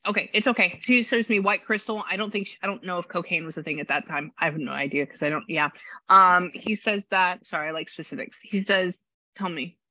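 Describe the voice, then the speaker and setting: A woman with a low voice and a slight rasp, chatting through a spirit reading and passing on messages. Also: brisk, bright, forceful tone, dry recording, highs cut off. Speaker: psychic medium.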